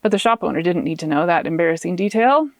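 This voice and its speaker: A woman author reading her own fiction aloud as an expressive audiobook narrator. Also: on the low side, fast talker, dry recording, clean studio sound.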